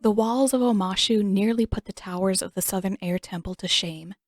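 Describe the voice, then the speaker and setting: A woman narrating a fan-written fantasy story calmly. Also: medium pitch, a little fast, soft background music. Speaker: podfic reader and author.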